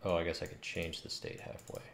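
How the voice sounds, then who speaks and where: A man's voice: low voice, monotone, brisk, vocal fry. A man in his thirties thinks aloud while coding, muttering in short fragments. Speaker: game developer streaming coding. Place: small room at home. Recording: roomy, noisy, keyboard clicks. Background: keyboard typing and mouse clicks.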